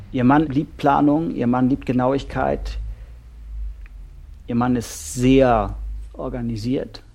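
Deliberate, gravelly male couples therapist. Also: medium pitch, dark tone. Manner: deliberate, pausing, directive. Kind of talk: German audio drama, naturalistic dialogue.

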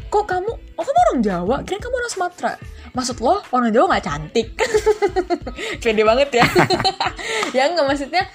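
A woman's voice: sits high, normal speed, soft background music. A young woman from Central Java chatting as a podcast guest, telling stories with a laugh and acting out the voices of the people she quotes. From Central Java, Indonesia. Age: young adult. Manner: lively, laughing storyteller who acts out quoted voices. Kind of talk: casual chat.

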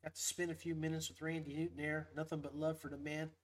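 A man's voice: a little high, level tone, quick pace. A man hosting a casual fishing livestream, talking in a rambling, folksy, upbeat way. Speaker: podcast host.

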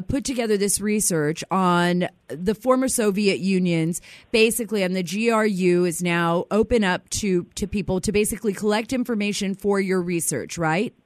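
A woman's voice: mid-range voice, no room sound. A woman hosting an interview, cutting in to explain things for the listeners. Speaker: show host. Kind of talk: interview host.

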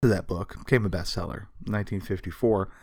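A man co-hosting a casual, comedic history podcast, telling stories from notes in an animated way with casual swearing. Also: sits low, quick pace, no room sound, studio quality. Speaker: podcast co-host.

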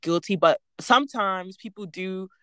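Relaxed woman guest, dry close sound. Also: mid-range voice, moderate pace, smooth, dry recording. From Nigeria. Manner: relaxed, hesitant, many "um"s. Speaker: interview guest. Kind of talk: casual interview chat.

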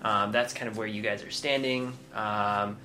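A man narrating a tabletop game as game master, often pausing on fillers as he describes the scene. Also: on the low side, fairly even intonation, pushed and bright, a little hiss or hum. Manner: halting narration with many fillers.

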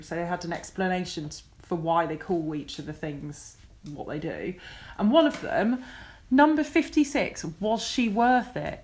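A woman co-hosting a podcast, chatting casually and easily amazed by what she reads out. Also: fairly low pitch. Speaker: podcast co-host. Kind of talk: casual chat.

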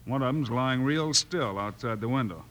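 A man's voice: medium pitch, moderate pace, natural voice. An American actor playing a level-headed, authoritative lawman hero in an old western radio drama. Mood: determined. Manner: calm, authoritative. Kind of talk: 1950s western radio acting. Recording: faintly noisy old radio recording.

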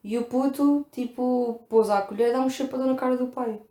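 A woman's voice: fairly high pitch, brisk. A woman with a European Portuguese accent, co-hosting a casual chat and telling stories with lots of fillers. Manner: chatty, animated storytelling with lots of fillers. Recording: echoey room.

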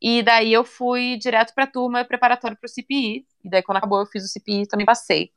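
A young woman chatting casually in an interview, relaxed and talkative, often ending phrases with little tag questions. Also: a little high, fast talker, clean tone. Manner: chatty, relaxed, many fillers like "né" and "sabe".

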